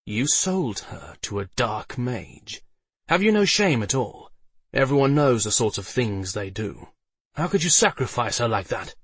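A man's voice: on the low side, normal speed, fairly bright tone, no echo, distant mic. Proud knight for a fantasy storybook, read by a man: stern, demanding, formal, menacing. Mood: outraged. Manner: commanding, formal. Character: proud, furious male knight, demanding and threatening.

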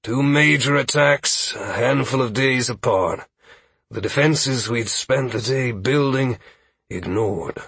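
Weary village leader, acted by a male narrator, grave, sighing, husky, for a fantasy audiobook. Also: medium pitch, takes it slowly, fairly bright tone, no echo, voice pushed up, heavily acted. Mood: grim.

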